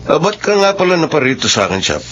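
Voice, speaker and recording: An actor with a Filipino accent playing a friendly, teasing shop owner in a conversational radio drama scene. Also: male voice, fairly high pitch, sing-song, fast, fairly bright tone, slight background noise. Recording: dull audio, faint music.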